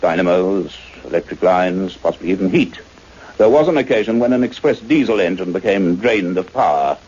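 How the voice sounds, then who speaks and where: A man's voice: deep voice, animated, moderate pace, darkish tone, husky. A man acting an earnest, methodical scientist in a radio play, speaking formally and precisely. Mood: measured explaining. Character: earnest, methodical male scientist, a doctor who narrates. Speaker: actor playing the lead and narrator. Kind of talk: radio drama acting and scripted narration. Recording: muffled radio recording with faint noise.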